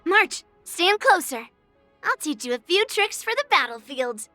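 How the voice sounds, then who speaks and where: A woman voicing a spirited, confident young girl swordswoman in a video game, brash and expressive. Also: extremely high pitch, measured pace, no room sound, soft background music, heavily acted. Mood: cocky, playful. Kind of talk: video game character voice lines.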